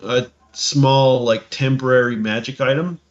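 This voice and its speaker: A man co-hosting a podcast, casually discussing tabletop game rules and haltingly thinking aloud as he reads. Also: medium pitch, steady tone. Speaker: podcast co-host. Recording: dull lo-fi audio, music behind.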